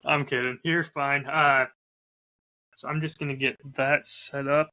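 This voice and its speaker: A man's voice: mid-range voice, level tone, unhurried, pushed and bright, no echo. A man talking casually as a host, showing off a bottle while recording on a close lav mic. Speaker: podcast host and whiskey audio drama creator.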